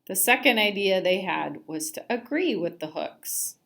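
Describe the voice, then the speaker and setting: A woman reading a children's picture book aloud in an expressive storytelling style. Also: mid-range voice, a little slow, gravelly.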